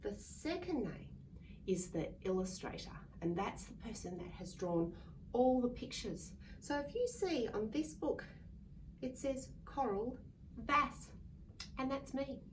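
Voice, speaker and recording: A woman's voice: fairly high pitch, animated, a little slow. A woman reading a picture book aloud to young children in a sing-song way, coaxing them to join in. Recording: distant mic with faint background noise.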